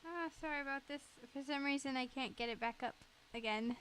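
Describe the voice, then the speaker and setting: A woman's voice: sits high, a little fast, a bit bright, slight background noise. A woman livestreamer chatting casually in a giggly, enthusiastic way.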